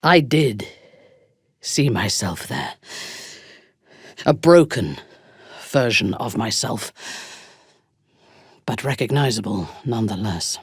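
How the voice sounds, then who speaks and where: A ruthless female drow commander for a fantasy video game. Her voice is low and husky, haughty and menacing, and she takes her time while swinging widely in pitch. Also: expressive, slow pace, pauses often, dry recording, clean studio sound, heavily acted. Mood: imperious, intense. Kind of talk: dramatic fantasy game acting.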